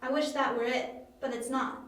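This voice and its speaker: A woman's voice: sits high, steady tone, normal speed, a bit bright. A woman playing a sincere, conflicted young woman in an amateur audio drama, earnest and pleading. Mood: regretful. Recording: very echoey, distant, faint noise.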